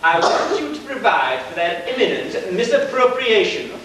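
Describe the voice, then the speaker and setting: A man's voice: sits high, expressive, moderate pace, fairly bright tone. A man playing a pompous, scheming villain boss in an amateur stage pantomime, with grandiloquent, theatrical delivery. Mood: commanding. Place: church pantomime stage, far camera. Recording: echoey hall from far off, faint noise.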